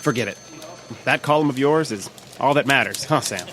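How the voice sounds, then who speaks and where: An actor playing a gossipy, needling, worried newspaper colleague, wry and insistent, in an old-time radio drama. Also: male voice, mid-range voice, normal speed, fairly bright tone, close to an everyday voice. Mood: exasperated. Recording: live stage with faint noise.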